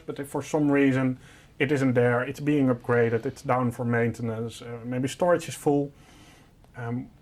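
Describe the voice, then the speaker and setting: Man explaining a technical solution informally. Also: medium pitch. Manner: informal, step-by-step, simplifying. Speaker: product presenter.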